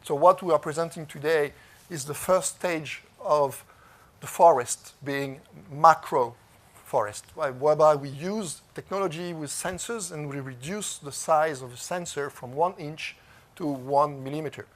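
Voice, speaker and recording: A man with a French accent, French his first language, presenting at a technology conference in a halting way, with many fillers and restarts. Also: on the high side. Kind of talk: conference talk. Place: conference stage.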